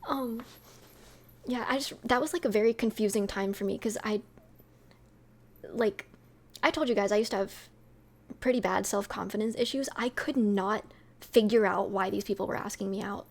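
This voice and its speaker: A woman's voice: medium pitch, normal speed, long pauses. A young woman with a sweet voice, chatting casually with her stream viewers, rambling with lots of fillers and light laughs.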